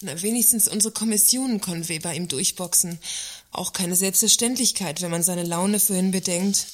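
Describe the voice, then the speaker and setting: Casting: husky female works councillor played by an actress, arch and theatrical, scheming and defiant, satirical radio play. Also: lowish voice, moderate pace, lightly acted. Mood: weary, unsettled.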